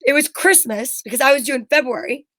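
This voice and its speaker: A woman's voice: sits high, brisk, pushed and bright, no room sound. A middle-aged woman co-hosting a talk show, chatting casually in a blunt, candid, rambling storytelling way. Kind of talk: casual chat.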